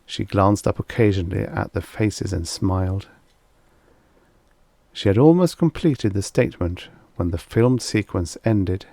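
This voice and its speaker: A man's voice: sits low, a little slow, vocal fry, warm tone, no echo. A man reading a vintage science fiction short story as scripted audiobook narration.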